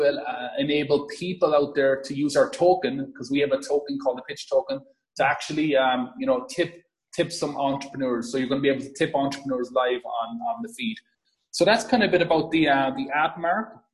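An Irish man speaks as a podcast guest, pitching his product with eager energy. His speech is quick and casual, with frequent restarts and filler words as the ideas tumble out. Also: rough recording. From Ireland. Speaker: podcast guest, app founder. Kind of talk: casual interview talk.

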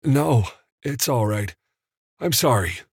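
A man's voice: fairly low pitch, expressive, unhurried, no room sound, studio quality. A man voicing a nervous, starstruck film editor in an audiobook, hesitant and apologetic. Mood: flustered, awed. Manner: stammering, apologetic. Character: nervous, starstruck male trailer editor, flustered drifter.

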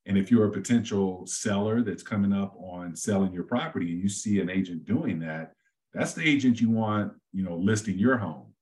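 Middle-aged real estate guy, really low deep voice, laid back, lots of um and you know. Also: normal speed, darkish tone. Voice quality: deep voice said to suit radio. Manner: easygoing, talks at length with many fillers and restarts. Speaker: real estate agent, guest. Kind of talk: casual podcast interview.

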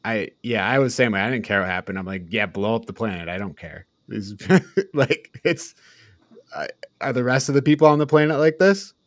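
A man's voice: mid-range voice, animated, fairly quick, no echo. A man hosting a casual film review podcast, speaking bluntly and informally. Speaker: podcast host.

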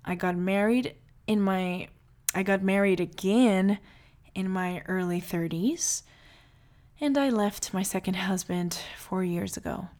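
A woman in her late thirties from Brazil, calmly telling her life story in a reflective monologue. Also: medium pitch, measured pace. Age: 30s.

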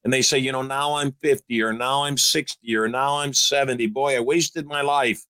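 A man's voice: mid-range voice, fairly even intonation, moderate pace, pushed and bright, smooth, no room sound. A man in his sixties giving upbeat, emphatic advice as a show host. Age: 60s. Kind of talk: motivational call-in advice.

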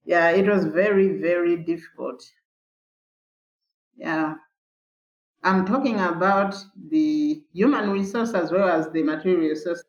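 A woman nurse from Malawi, recalling hard times in an earnest, halting interview with many fillers and restarts. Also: lowish voice. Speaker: palliative care nurse, interviewee. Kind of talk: candid interview recollection. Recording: echoey, dull remote recording.

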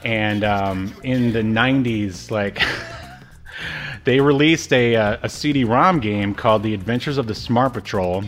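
A man's voice: fairly low pitch, unhurried, fairly bright tone, soft background music. A middle-aged man co-hosting a podcast, talking about old video games in a rambling, informal, amused way. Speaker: podcast host. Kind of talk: casual retro game review chat.

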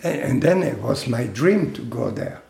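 An older man from Italy, slowly recounting his life in a halting oral-history interview. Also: mid-range voice, lively intonation, gravelly, darkish tone, reverberant, slight background noise. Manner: slow, halting storytelling with fillers and laughs.

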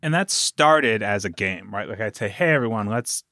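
A man's voice: on the low side, animated, moderate pace, pushed and bright, dry recording. Man chatting animatedly, close dry sound. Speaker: podcast guest, producer and game master of an audio drama. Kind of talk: casual interview conversation.

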